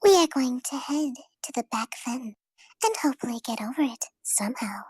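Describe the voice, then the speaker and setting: A woman's voice: sits high, animated, fairly quick, darkish tone, slightly rough, no echo. A woman casually reading visual novel lines among friends. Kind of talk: casual chat and amateur read-aloud of game script.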